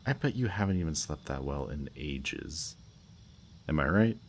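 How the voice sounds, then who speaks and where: A man playing a smug, flirtatious villain kidnapper in an intimate roleplay audio drama, talking in a teasing, softly menacing way. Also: a bass voice, animated, normal speed, a little hiss or hum. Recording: outdoor night bird and insect ambience. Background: birdsong and crickets at night.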